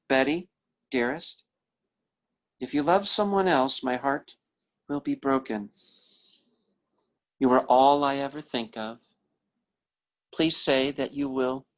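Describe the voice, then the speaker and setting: A man acting in a 1940s-style radio drama as an earnest, lovesick young oil surveyor, pleading and halting as he reads out his sentimental love letters. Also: medium pitch.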